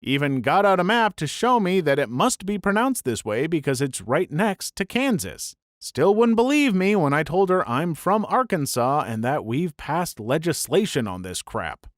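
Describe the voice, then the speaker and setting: A man reading a string of online stories aloud as a scripted narration, brisk and even with dry asides. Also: a little high, no room sound.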